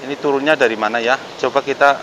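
A man's voice: mid-range voice, fairly even intonation, quick pace, fairly bright tone, slight background noise, rough recording. Polite Javanese-accented man vlogging outdoors. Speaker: vlogger helping homeless people. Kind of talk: casual on-location vlog narration and chat. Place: outdoors, yard and riverside by a road bridge.

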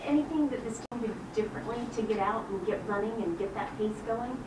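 A woman reporter asking a player direct, measured questions at a press conference. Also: mid-range voice. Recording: distant, echoey, noisy room audio.

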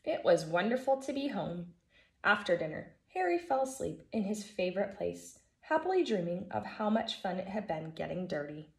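An American woman in her thirties, a first grade teacher reading a children's storybook aloud in an expressive, emphatic way. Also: medium pitch, normal speed, gravelly. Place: living room at home, indoors. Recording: echoey room.

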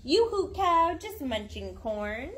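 A young woman doing an animated picture-book read-aloud for her toddler, playful, sing-song and encouraging, chatting to the child as she goes. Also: high-pitched, slow pace, a little hiss or hum. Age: young adult. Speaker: mother reading storytime to her toddler. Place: outdoor backyard patio. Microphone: camera a few feet away.

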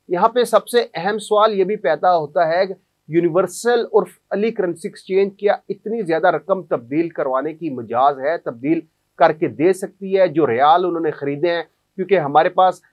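Earnest Pakistani news presenter, higher voice. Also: male voice. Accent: Pakistani Urdu. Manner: earnest, questioning, emphatic. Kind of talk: investigative news report to camera. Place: news studio in front of a screen. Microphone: clip-on lapel mic.

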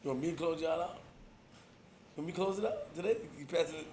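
A man with a New York accent hosting a podcast, talking in a teasing, playful, energetic way. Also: a little high, a little fast, a little hiss or hum. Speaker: podcast host. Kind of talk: casual podcast chat.

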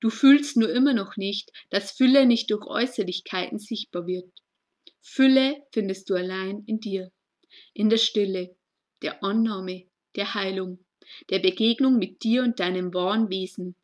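A woman recites poetry at a medium pitch. She speaks in short, deliberate phrases and leaves space between them. Her delivery is earnest and reflective throughout. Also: a little mellow. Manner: slow, deliberate phrasing with pauses. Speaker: poet reading own poem. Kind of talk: spoken poetry reading.

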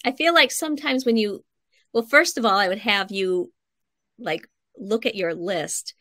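A woman's voice: mid-range voice, unhurried, bright, forceful tone, dry recording, studio quality. A middle-aged woman explaining things conversationally in an interview over a video call, in an encouraging, instructive way. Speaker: guest writing coach. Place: home room, indoors, on a video call.

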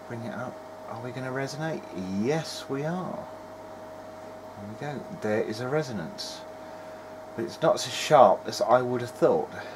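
A man talks casually to himself while working through a hands-on electronics test. His remarks come in short, halting, muttered bursts at a middling pitch. Clear background noise sits behind him in a small workshop, picked up by a camera on the bench. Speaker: hobbyist presenter of a video diary. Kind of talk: casual running commentary while testing electronics. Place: small cluttered indoor electronics workbench.

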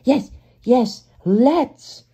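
Storybook engine, male, young and proud, played by a man, high-voiced, excitable and huffy. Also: unhurried. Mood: excited. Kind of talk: children's storybook character voice.

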